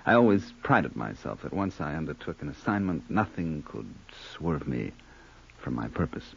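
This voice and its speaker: An actor playing a mock-genteel, menacing hired killer turned blackmailer, deliberate and insinuating, in old-time radio crime drama. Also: male voice, a bass voice, sing-song, vocal fry, highs cut off, a little hiss or hum, exaggerated voice. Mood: quietly menacing.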